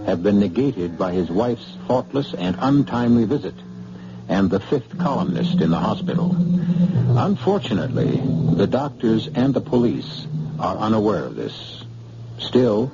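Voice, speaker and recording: A man narrating a 1950s radio drama in a grave, formal way. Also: lowish voice. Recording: noisy lo-fi old radio broadcast.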